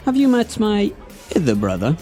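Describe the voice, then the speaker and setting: A woman playing a suave, courteous Prince Charming in an audio pantomime, smooth, formal and affected. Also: mid-range voice, huge pitch swings, moderate pace, mellow, faint music behind.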